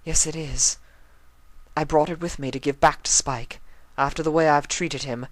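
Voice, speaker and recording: A man reading a fanfic aloud and voicing a refined, remorseful young female pony with a soft, pleading delivery. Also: fairly high pitch, fairly even intonation, normal speed, no room sound, pitched above the performer's own voice, close to an everyday voice.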